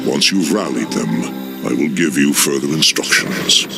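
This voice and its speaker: A man's voice: extremely low pitch, a little slow, creaky, over music, heavily acted. A man playing a smug, commanding demon lord in a video game, speaking with theatrical, condescending menace. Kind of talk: video game villain acting.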